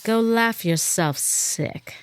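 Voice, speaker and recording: Cool, scheming femme fatale. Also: female voice, fairly high pitch, slow pace, clear, resonant tone, no room sound. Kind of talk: old-time radio noir acting.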